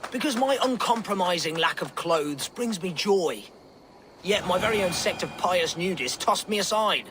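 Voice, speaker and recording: High, swooping, pompous nudist zealot. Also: male voice, lively intonation, moderate pace, background noise. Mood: grandiose. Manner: pompous, grandiose, florid declamation. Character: fanatical, flamboyant, gleefully vengeful male nudist preacher. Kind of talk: theatrical video game character acting.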